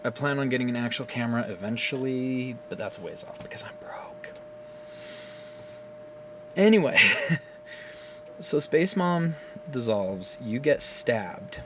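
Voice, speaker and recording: A man talks into his phone camera at home in a loose, rambling way, recapping a game's story with plenty of swearing and laughter. His voice sits at an ordinary middle pitch. A faint hum runs underneath. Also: unhurried, pauses often. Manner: animated, profane, laughs often. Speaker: gaming video creator.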